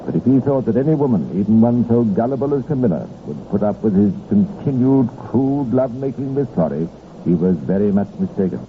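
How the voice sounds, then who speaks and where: A man narrating a scripted true-crime radio programme in a measured, formal storytelling manner, on an old recording. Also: on the low side, expressive. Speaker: narrator and host. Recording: tinny, noisy 1950s radio recording.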